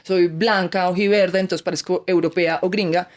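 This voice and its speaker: A Colombian actress speaking Spanish with a Colombian accent in a casual interview, animated and acting out quoted voices. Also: female voice, on the low side, normal speed. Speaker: actress, guest.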